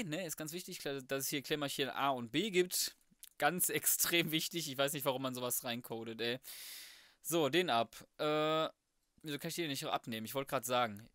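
A man's voice: on the high side, a bit bright, no echo, studio quality. A German man giving casual let's play commentary, talking to himself in a slangy, crude, jokey way. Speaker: gaming YouTuber, host.

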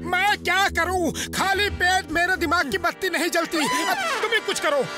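A man voicing a plump, excitable, food-loving cartoon hero in a whining, exclamatory comic manner. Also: very high voice, moderate pace. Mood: helpless. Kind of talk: animated comedy cartoon acting. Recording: loud music behind.

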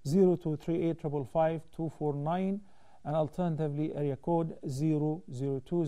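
A man in his thirties, an Islamic scholar hosting a call-in TV show, explaining rulings in a measured way from a studio desk on a lapel mic. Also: a little high, dark tone. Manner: measured, explanatory, gestures while talking. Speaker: Islamic scholar answering viewer questions. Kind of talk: call-in religious Q&A.

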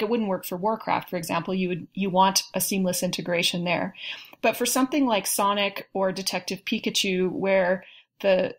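Woman making measured points in discussion. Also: mid-range voice. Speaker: panel guest. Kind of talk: casual film discussion.

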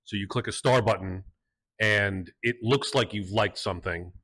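A man's voice: fairly low pitch, moderate pace, fairly bright tone, poor audio. A man in the audience asking a long question at a meetup in a small cafe, thinking it through aloud. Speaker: audience member.

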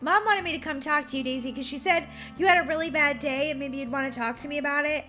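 A woman voicing a chatty, excitable teenage big sister in a children's roleplay story. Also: high voice, fast talker, soft background music. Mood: concerned.

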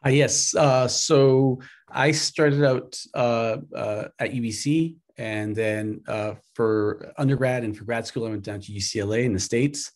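A man's voice: medium pitch, steady tone, unhurried. A man from Afghanistan, a filmmaker, talking hesitantly on a video-call panel with many fillers. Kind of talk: casual panel talk. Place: home room, video call.

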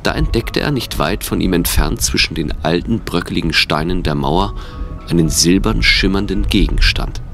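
A man's voice: low-pitched, normal speed, vocal fry, a bit bright, soft background music. A man reading scripted narration for an audio drama.

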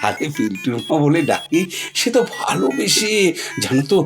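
A woman's voice: deep voice, very animated, moderate pace, creaky, heavily acted. A woman playing a coaxing, playful old Shiva in an audio drama, repeating words as he wheedles. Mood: indignant, playful. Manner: wheedling, stammering repetitions of words. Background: music.

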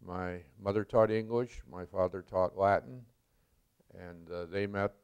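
An older man from Milwaukee, a retired chemistry professor, recalling his life in an oral history interview, speaking slowly with many pauses and fillers. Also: low voice, steady tone, a little mellow, clear, resonant tone, muffled recording. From Milwaukee, Wisconsin.

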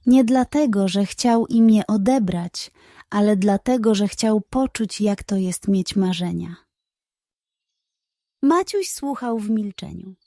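A woman's voice: medium pitch, takes it slowly, warm tone, dry recording, studio quality. A woman narrating a scripted bedtime story for children.

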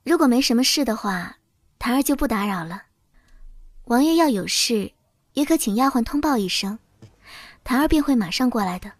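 A woman playing a meek, demure young princess consort in an audio drama, soft-spoken, careful and deferential. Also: on the high side, expressive, normal speed, long pauses, no room sound, studio quality. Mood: demure, polite.

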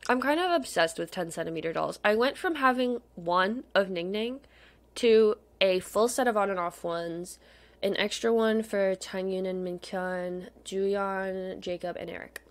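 A woman's voice: medium pitch, unhurried. A young adult woman chatting casually over screen browsing as a video host, gushing and slangy, sometimes dropping to a murmur. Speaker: video host, K-pop photocard collector. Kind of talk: casual rambling screen-share commentary.

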